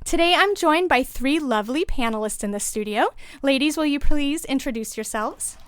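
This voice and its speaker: A woman hosts a podcast from a studio, her voice pitched high and set against a dry, close sound. She reads scripted intros and announcements brightly and clearly. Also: expressive, moderate pace, dry recording. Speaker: podcast host and lactation consultant. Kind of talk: scripted show hosting.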